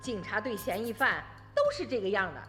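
Lady doing a sharp-tongued farm wife, radio drama, bright forceful voice, echoey with music. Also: medium pitch, expressive, fairly quick, close to an everyday voice. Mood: indignant. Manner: pointed, outspoken. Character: sharp-tongued, proud farmer's wife, daughter-in-law.

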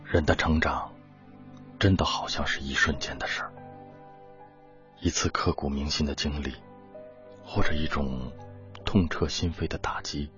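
A man's voice: a bass voice, fairly even intonation, a little slow, pauses often, fairly bright tone. A man playing a devoted volunteer teacher in a radio drama, telling his story in an earnest, reflective, emotive way. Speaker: narrator and lead character. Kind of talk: dramatic radio-play narration and dialogue. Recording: muffled radio drama under prominent music.

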